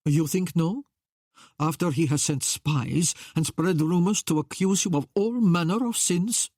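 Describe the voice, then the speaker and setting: A protective Italian gentleman from a historical audiobook, voiced by a woman narrator. He speaks with an Italian accent in a low, husky voice, grave, measured and cautious. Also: dry recording, studio quality. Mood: skeptical. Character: tall Italian man, protective, cautious courtier friend.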